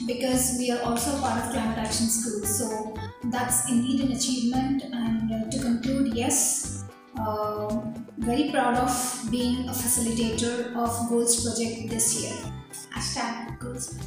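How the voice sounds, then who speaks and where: Higher-voiced woman in a very echoey room. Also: little pitch movement, unhurried, over music. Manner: hesitant, many fillers. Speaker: head of academics. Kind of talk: spoken introduction.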